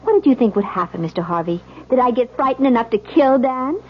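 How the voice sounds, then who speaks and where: Distraught young bride, high voice, intense suspicion, old-time radio thriller, muffled sound. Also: female voice, lively intonation, a little fast. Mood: cold, bitter. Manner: intense, theatrical delivery. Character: distraught young newlywed wife, suspicious of her husband. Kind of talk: 1970s radio drama acting. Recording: muffled vintage radio recording.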